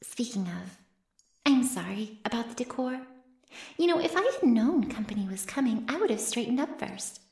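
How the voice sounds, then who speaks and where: A woman's voice: a little high, big pitch swings, moderate pace, darkish tone. A woman playing an ancient, smugly teasing female vampire in an intimate ASMR roleplay, murmuring coyly and often slipping into a whisper. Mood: wry, casual. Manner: coy, breathy murmurs that often drop to a whisper. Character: ancient predatory female vampire, playfully menacing, smugly teasing her captive. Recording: roomy cave-like echo.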